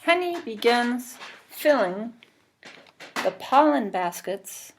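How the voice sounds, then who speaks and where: Slow-paced woman reading a children's book aloud. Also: a little high. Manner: deliberate, expressive, pausing between phrases. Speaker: storybook reader. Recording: clearly noisy recording with clicks.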